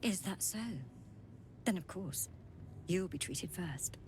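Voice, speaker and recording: A woman's voice: fairly low pitch, slow, noisy background. A woman playing a haughty, clinical alien infirmary healer in a fantasy video game, coldly curious and condescending. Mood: coolly curious. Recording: music behind the voice.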